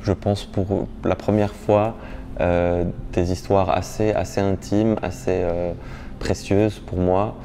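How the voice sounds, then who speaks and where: Low gravelly man, hesitant speech. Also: flat delivery, slow, dark tone, slight background noise. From Lebanon. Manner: hesitant, many fillers and restarts. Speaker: performance artist. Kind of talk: interview.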